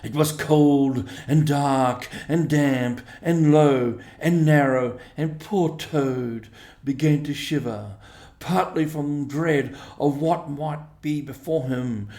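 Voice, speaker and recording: A man narrating a storybook in a measured, expressive way. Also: on the high side, reverberant. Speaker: audiobook reader.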